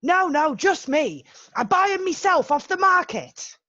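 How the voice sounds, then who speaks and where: A woman's voice: very high-pitched, big pitch swings, measured pace, pushed and bright, no echo, dull audio, full character voice. Woman reading as a cheery dad in a Santa suit. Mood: chatty. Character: cheery working-class dad dressed as Santa. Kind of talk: amateur online play reading.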